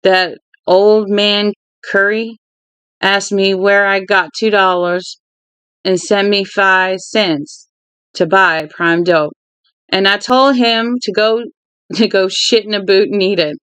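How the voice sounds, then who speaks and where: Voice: medium pitch, steady tone, slow pace, a bit bright, smooth, no echo. A reader voicing a cocky, crude young older brother from a novel, slangy, blunt and teasing. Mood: indignant, crude.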